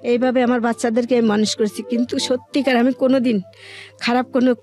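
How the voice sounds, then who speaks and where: A woman's voice: on the high side, little pitch movement, fast, darkish tone, faint music behind. A middle-aged woman with a colloquial Bangladeshi accent, telling her own life story in a plain-spoken, conversational way.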